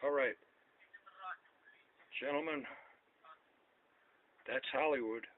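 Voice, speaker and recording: A man in his seventies rambles through a casual talk, circling back over the same points and pushing his voice up when he questions someone. The sound is thin and phone-like, with a faint hiss under it. His pitch sits in a middle range. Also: takes it slowly. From United States. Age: 70s. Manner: rambling, repeats himself, loud when questioning. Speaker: vlogger.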